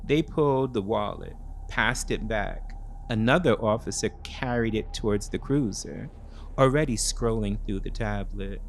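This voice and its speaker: A man giving dramatic, scripted first-person thriller narration in deliberate, clipped phrases. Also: fairly low pitch, gravelly, a little hiss or hum. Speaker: storyteller.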